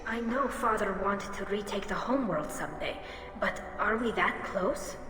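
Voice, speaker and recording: Woman playing a bright-voiced young alien woman. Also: medium pitch, moderate pace, close to an everyday voice. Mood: worried, earnest. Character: earnest, respectful young alien woman, anxious but spirited. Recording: echoey hall, faint music behind.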